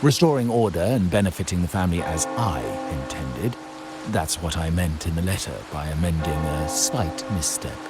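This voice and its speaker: An actor playing a proud, scheming heir to a crime family in a video game, speaking in a formal, measured, pompous way. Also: male voice, low voice, over loud music, close to an everyday voice. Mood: authoritative, veiled threat.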